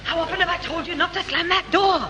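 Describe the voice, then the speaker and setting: A woman playing an exasperated, brisk mother in a 1960s radio sitcom. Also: very high-pitched, bright, forceful tone, in a large hall. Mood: scolding. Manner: brisk, scolding. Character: scolding, exasperated working-class mother of a schoolboy. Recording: slightly noisy old radio broadcast.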